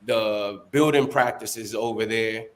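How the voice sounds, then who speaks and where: A man's voice: on the low side, fairly even intonation, slow pace. A man who is a guest in a casual interview, answering in an easygoing, hedging way with many fillers. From Dominican, born in the United States.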